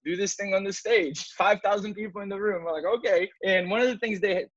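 Brazilian-accented man, animated and lo-fi. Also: high voice, brisk, no room sound. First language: Portuguese. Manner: animated, laughing, with restarts. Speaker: church musician guest. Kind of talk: storytelling interview answer.

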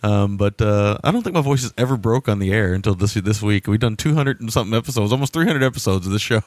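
A man's voice: fairly low pitch, animated, quick pace, a little mellow, no echo. A man co-hosting a movie podcast in casual chat, easygoing and thinking aloud as he talks. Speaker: podcast co-host.

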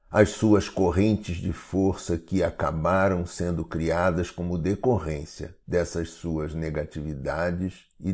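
Deep, slow Brazilian male meditation guide. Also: darkish tone. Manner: measured, instructive, formal wording. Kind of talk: scripted guided meditation narration.

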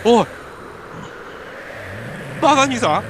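A man's voice: very high voice, very animated, slow, pitched above the performer's own voice, exaggerated voice. A man with a Kansai accent playing an earnest, exasperated podcaster stranded on a mountain in an amateur radio drama. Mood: desperate. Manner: earnest, exasperated retorts and pleas. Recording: clear background noise.

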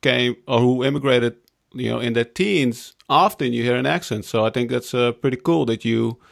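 Male podcast host, from iran via the netherlands, gravelly fry, friendly, hesitant. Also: mid-range voice, moderate pace. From Iran, raised in the Netherlands. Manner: friendly, laughing, hesitant with fillers. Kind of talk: casual interview chat.

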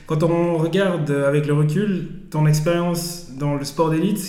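A man's voice: a little high, steady tone, normal speed, mellow. A man hosting a casual interview and asking questions. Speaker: podcast host, interviewer. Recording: echoey room.

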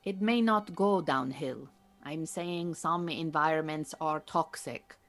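An actress playing an earnest, proud Iranian refugee woman who mentors beekeepers in an audio drama, speaking in a measured, formal, precise way. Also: deep voice, faint music behind. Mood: calm, cautioning. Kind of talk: naturalistic audio drama acting.

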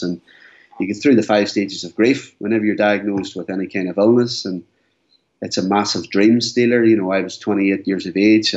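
Brief: earnest forties man, deep voice, muffled lo-fi recording. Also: darkish tone. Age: 40s. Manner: earnest, repeats words as he speaks. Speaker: guest. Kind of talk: candid interview. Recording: dull lo-fi sound.

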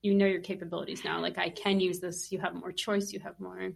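A woman's voice: on the low side, little pitch movement, fairly quick, gravelly, husky. A woman hosting a podcast interview, encouraging her guest with frequent agreement. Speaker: podcast host.

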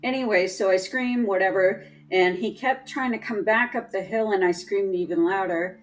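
A woman telling a personal story in casual chat, halting and restarting often, with a trained, projecting voice. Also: medium pitch, rough recording. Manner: slow, halting, many restarts.

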